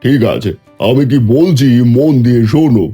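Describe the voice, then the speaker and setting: A man's voice: medium pitch, normal speed, warm tone, slightly rough. A man playing a scheming neighbour in a cartoon dub, coaxing and conspiratorial as he gives crooked advice. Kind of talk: Bengali cartoon dubbing. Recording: music behind the voice.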